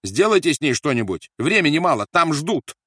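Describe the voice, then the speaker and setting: Overwrought Nazi interrogator played by a male reader, barking threats at raised pitch, audiobook drama. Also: expressive, normal speed, fairly bright tone, husky, dry recording, clean studio sound. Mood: tense, impatient. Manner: commanding, menacing, barking orders.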